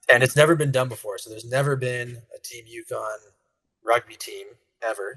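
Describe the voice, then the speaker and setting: Canadian male interview guest, earnest explainer, husky low voice. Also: fairly bright tone, rough recording. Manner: earnest, explains step by step with fillers. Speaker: rugby federation leader, guest. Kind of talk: casual interview.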